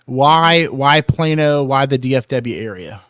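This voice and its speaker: A man's voice: mid-range voice, animated, unhurried, fairly bright tone, clear, resonant tone, dry recording, studio quality. A man hosting a talk show, interviewing guests in a casual, conversational way. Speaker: podcast host.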